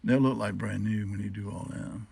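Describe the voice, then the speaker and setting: A man's voice: lowish voice, fairly even intonation, moderate pace, gravelly, darkish tone, slight background noise. Folksy man giving a hands-on demonstration. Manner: folksy, asks and answers own questions. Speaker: hobbyist demonstrating old radio restoration. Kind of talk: casual hands-on demonstration, unscripted.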